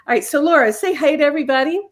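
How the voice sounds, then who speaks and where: An enthusiastic woman speaks as a podcast host. Her voice sits a little high, and her delivery is chatty and upbeat, like someone conducting a friendly interview. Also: very fast.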